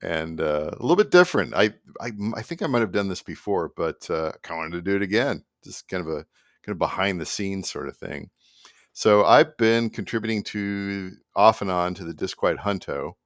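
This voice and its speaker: A middle-aged man with a low voice chats through a behind-the-scenes music vlog. His delivery is loose and rambling, easygoing throughout. He is recorded indoors with a dry, close sound. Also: expressive, moderate pace, no room sound. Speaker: musician presenting own project. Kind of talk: casual behind-the-scenes vlog talk to camera. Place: small indoor home room at a computer.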